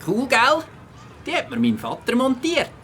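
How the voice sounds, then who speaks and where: Casting: woman as relaxed, friendly schoolboy, Swiss German, for a children's audio story, slight noise behind. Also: sits high, unhurried, gravelly, full character voice. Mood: easygoing. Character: Mike, laid-back friendly new schoolboy. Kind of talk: children's audio play acting.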